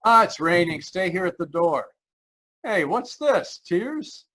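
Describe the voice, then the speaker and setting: High-pitched, earnest man acted in radio drama. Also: sing-song, pushed and bright, full character voice. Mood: affectionate reassurance. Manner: urgent, halting, nervous. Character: anxious man in his thirties recounting a terror, insisting he is sane. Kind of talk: old-time radio drama acting.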